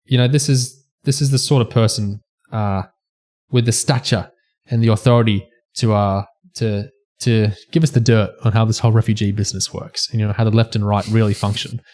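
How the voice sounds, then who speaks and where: A man co-hosting a podcast discussion, speaking haltingly, phrase by phrase. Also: on the low side, fairly even intonation, a little mellow. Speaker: podcast co-host.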